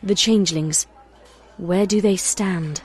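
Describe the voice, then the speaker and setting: A woman's voice: medium pitch, slow, darkish tone, close to an everyday voice. Woman doing a stately pony night queen, formal and burdened, audio drama, bit of music behind. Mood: insistent.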